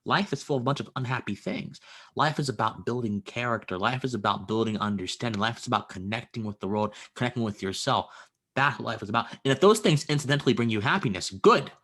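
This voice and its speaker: A man's voice: mid-range voice, fast talker, fairly bright tone. A man of twenty, a guest in a casual conversation, talking earnestly and philosophically with stammered restarts.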